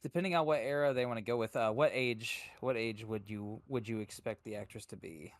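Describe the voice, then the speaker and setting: A man co-hosting a podcast in casual chat, hesitant and thinking out loud as he goes. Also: mid-range voice, a little fast, dry recording, studio quality. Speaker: podcast co-host.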